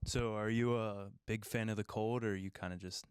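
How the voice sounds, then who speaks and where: A man's voice: fairly low pitch, fairly even intonation, normal speed, slight background noise. A man with an American accent hosting a podcast in a casual, conversational interview style. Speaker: podcast host.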